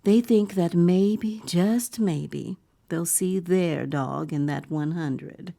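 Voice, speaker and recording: Horror audio drama: a naive storyteller, slow and breathy, near-whispering, over a musical score. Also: female voice, lowish voice, warm tone, faint music behind. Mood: hushed anticipation. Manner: deliberate, breathy delivery slipping into whispers. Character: dog-loving visitor narrating in a collective "we", naive, turning horrified.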